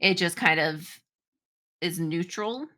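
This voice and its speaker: A woman's voice: on the low side, slow pace, pushed and bright, a little hiss or hum. A woman game master narrating and refereeing a tabletop game in informal, conversational phrasing.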